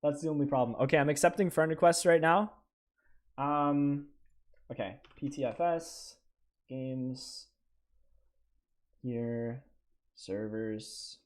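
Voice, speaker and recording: A young man from Canada, live-streaming a flight game and chatting with viewers in a stammering, excitable way. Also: medium pitch, takes it slowly, long pauses. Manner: animated, stammering, talks to chat. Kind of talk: live gameplay stream chat.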